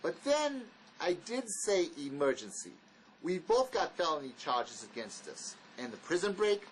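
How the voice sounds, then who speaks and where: An actor playing a wary, blunt escaped convict in a drama, speaking in an argumentative, insistent manner. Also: male voice, high voice, very animated, unhurried, a little hiss or hum, low-quality recording. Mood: cautious, insistent. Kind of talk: dramatic dialogue reading.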